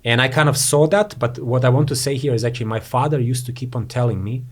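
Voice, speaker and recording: A man's voice: mid-range voice, fast talker. Rambling man from Croatia, says "you know". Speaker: podcast guest, entrepreneur. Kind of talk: casual interview chat.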